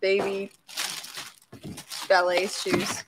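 A young woman co-hosting a live unboxing stream in a storage room, with excited exclamations over each item. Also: medium pitch, drawn-out words, noisy background. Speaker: co-host. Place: storage room stacked with boxes.

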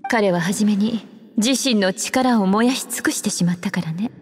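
A woman's voice: medium pitch, normal speed, breathy edge, lightly acted. Composed, alluring witch voiced by a woman, echoing. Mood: grave, explaining. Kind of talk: Japanese anime game dialogue, explaining lore. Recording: long hall reverb.

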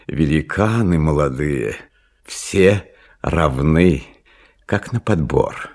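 Man acting marvelling seafaring merchant, husky. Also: mid-range voice, exaggerated voice. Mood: grave. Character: seafaring merchant, male, telling a tsar of wonders.

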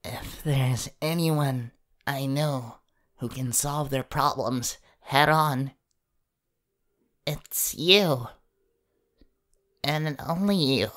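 A woman voicing a gentle, awkward, encouraging young male superhero in an ASMR comfort role-play, speaking haltingly and at times in a whisper. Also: rumbling low, heavily acted. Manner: hesitant, halting, partly whispered.

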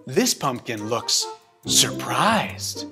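A man's voice: mid-range voice, very animated, drawn-out words, music in the background. An American man in his thirties co-hosting a children's storybook show, mixing read-aloud with mock-serious comic banter. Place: small bright indoor studio set.

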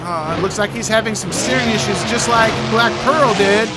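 High-voiced man calling monster truck action. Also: normal speed, over loud music, rough recording. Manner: exclamatory, cheering announcer delivery. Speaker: video host and event announcer. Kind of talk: play-by-play monster truck game commentary.

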